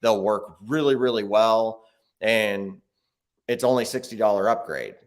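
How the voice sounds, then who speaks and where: Male guest, low voice, easygoing and chatty, remote call audio. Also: lively intonation, measured pace. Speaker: guest, bike video creator. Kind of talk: casual remote interview. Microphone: video call.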